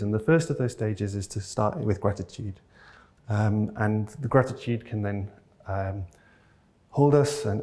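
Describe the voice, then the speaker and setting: Lower-voiced man giving a reflective talk. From North Wales. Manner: thoughtful, searching for words, often hesitant; earnest and gently enthusiastic. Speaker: keynote speaker. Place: talk venue, audience present.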